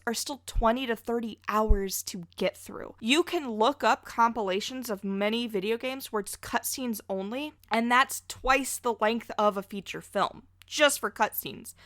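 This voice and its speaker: A woman's voice: on the high side, a bit bright, dry recording, clean studio sound. A woman chatting casually as a podcast guest, effusive and self-deprecating. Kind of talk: casual pop-culture podcast chat.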